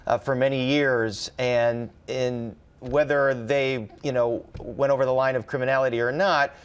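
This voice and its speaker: American man giving halting news analysis. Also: medium pitch, steady tone, clear, resonant tone, lo-fi sound. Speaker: reporter. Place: TV studio.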